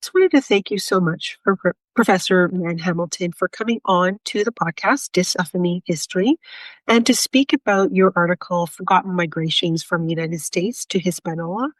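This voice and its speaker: A woman's voice: lowish voice, moderate pace, no room sound, low-quality recording. A woman hosting a history podcast, asking questions with supportive, somewhat hesitant phrasing. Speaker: podcast host. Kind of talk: interview questions.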